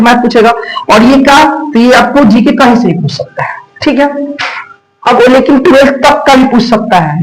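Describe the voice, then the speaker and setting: A man with a Bihari accent explaining like a coaching teacher, asking his own questions and answering them, repeating key phrases. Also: extremely high pitch. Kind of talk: instructional explanation to students. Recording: echoey room.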